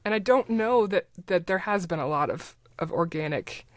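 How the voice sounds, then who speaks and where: A middle-aged woman speaking as a podcast guest in a casual, halting, informal and rambling way. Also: lowish voice, lively intonation, no echo, clean studio sound. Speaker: podcast guest, audio drama creator. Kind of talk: casual interview chat.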